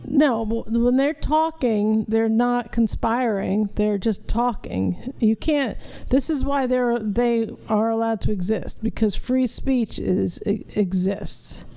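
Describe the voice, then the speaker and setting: Middle-aged female podcast host, mellow and unhurried, blunt and casual. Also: a little high, gravelly. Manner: blunt, profane. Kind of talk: casual watch-along commentary.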